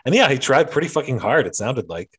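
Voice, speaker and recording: A man's voice: mid-range voice, very animated, fast, slightly rough. A man hosting a casual sports podcast, sardonic and profane, opening with a mock-dramatic intro. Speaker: podcast host. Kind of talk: casual hockey chat.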